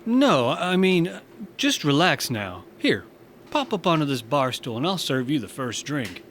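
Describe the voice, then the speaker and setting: Lively, friendly space bartender. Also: male voice, fairly high pitch, a little slow, a little hiss or hum. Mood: pleased, friendly. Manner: casual, encouraging. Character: friendly, easygoing space bar owner. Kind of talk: sci-fi audio drama acting.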